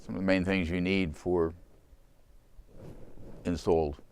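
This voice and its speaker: An older man, a company founder, speaking reflectively in an interview in a halting, unhurried way with pauses. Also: sits low, little pitch movement, darkish tone, slight background noise.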